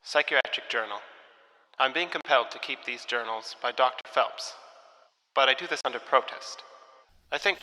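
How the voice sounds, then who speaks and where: An actor playing an agitated, arrogant man with violent urges, narrating his journal in a deliberate, formal way for an audio drama. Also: mid-range voice, pushed and bright, a little hiss or hum. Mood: defiant, contemptuous. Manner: pompous, deliberate, brooding, formal phrasing. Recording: long reverb, music underneath.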